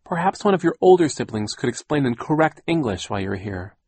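A man's voice: fairly high pitch, lively intonation, moderate pace, darkish tone, no echo, pitched above the performer's own voice. A man voicing a fussy, fearful older aunt in an audiobook, pedantic and whining. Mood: stern, pedantic. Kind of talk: audiobook character voice.

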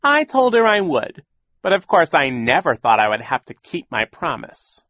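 A man's voice: on the high side, wildly swooping pitch, moderate pace, a bit bright, no room sound, voice pushed up. A man voicing a scheming villainous sea captain who gushes with false sentiment, in an audiobook story. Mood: mock mournful. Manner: theatrical, gushing insincerity.

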